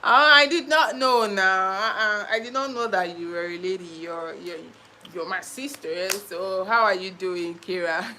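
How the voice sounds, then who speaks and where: A woman's voice: medium pitch, bright, forceful tone, a little hiss or hum. A young Nigerian woman with a Nigerian accent hosts a casual live stream, chatting playfully, reading viewer comments and laughing often.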